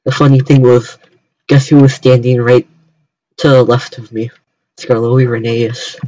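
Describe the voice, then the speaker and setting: A young American man chatting casually on a livestream, talking haltingly with many repeated starts. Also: medium pitch, flat delivery, dark tone, no echo, lo-fi sound. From United States. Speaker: streamer.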